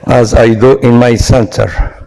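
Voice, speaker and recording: A man from Morocco with a Moroccan accent, giving a medical lecture at an online conference in a halting way, pausing often. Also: mid-range voice, steady tone, creaky, a little mellow, poor audio. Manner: halting, with frequent pauses and hesitations. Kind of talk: medical presentation.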